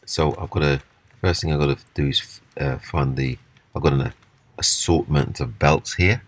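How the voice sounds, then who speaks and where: A man with a husky voice giving a casual, unscripted, step-by-step walkthrough of hands-on repair work. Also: very deep voice, normal speed. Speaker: repair demonstrator.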